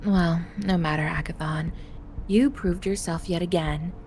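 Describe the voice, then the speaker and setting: Woman voicing powerful female occult leader, lowish voice, composed, formal, gracious, visual novel dub, faint background noise. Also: steady tone, a little slow, a little mellow, natural voice. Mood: gracious, pleased.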